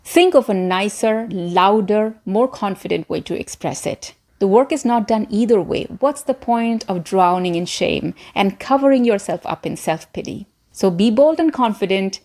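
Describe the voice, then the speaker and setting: Woman doing a coaching video, talks right to you, encouraging, leans on the important words, medium pitch. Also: animated, normal speed, hardly any pauses, darkish tone. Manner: encouraging, direct address to viewers. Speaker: presenter, voice coach. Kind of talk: instructional talk to camera.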